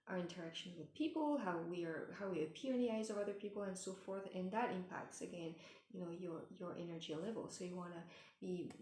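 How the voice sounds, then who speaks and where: A woman giving gentle spiritual advice in a meandering way, with many fillers and restarts, and she is losing her voice. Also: fairly low pitch, echoey room, slight background noise. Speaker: spiritual mentor. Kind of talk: casual advice talk.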